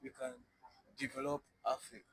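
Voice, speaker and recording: A man interpreting between two speakers, halting and restarting his phrases. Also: mid-range voice, little pitch movement, crowd noise. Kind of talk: interpreting between French and English.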